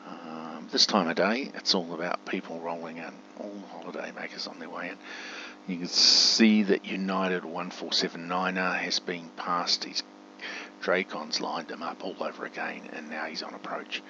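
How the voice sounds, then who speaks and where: Deep, gravelly man commentating on a game. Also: level tone, moderate pace, sharp tone. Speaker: gamer narrating a simulator playthrough. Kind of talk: casual gameplay commentary with spoken radio commands. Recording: dull, muffled home recording with faint hum.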